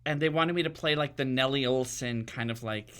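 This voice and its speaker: A man's voice: medium pitch, a little fast, a bit bright. A man in his fifties chatting casually and telling stories as a guest, with a dry, teasing, sardonic manner. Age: 50s. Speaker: guest, playwright and drag performer. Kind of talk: casual chat, storytelling.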